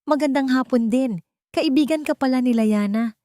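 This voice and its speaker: A woman's voice: sits high, moderate pace, mellow, dry recording, studio quality, voice pushed up, lightly acted. A woman voicing a stern, suspicious older mother-in-law in a dramatic story, with a Filipino accent and pointed manner. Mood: forced politeness. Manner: forced politeness turning to pointed anger.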